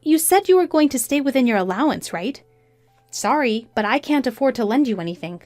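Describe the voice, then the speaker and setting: A woman's voice: high-pitched, lively intonation, fairly quick, darkish tone, no echo, soft background music, voice pushed up. A woman voicing a betrayed, defiant wife, scornful, emphatic and accusing, in a narrated story. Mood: cold, scornful. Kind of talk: acted dialogue in a narrated story.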